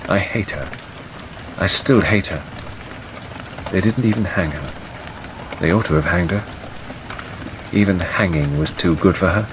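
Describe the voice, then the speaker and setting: A man's voice: low-pitched, a little slow, long pauses. Man reading as a bitter, grieving young woman. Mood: bitter hatred. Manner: emphatic, repeating phrases. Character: bitter, grieving, jealous young woman recalling her lover's death. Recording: steady rain noise over the voice. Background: rain ambience.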